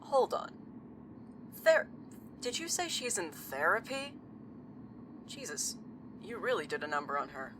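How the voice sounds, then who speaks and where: Actress as low-voiced, deadpan, sardonic woman seer. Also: measured pace, long pauses, background noise. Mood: alarmed. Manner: deadpan, dry, blunt. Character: blunt, sardonic woman who sees visions. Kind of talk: audio drama acting.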